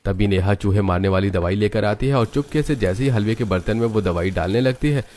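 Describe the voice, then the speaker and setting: A man's voice: on the low side, a little fast, darkish tone, slight background noise. A man narrating a scripted story as its storyteller.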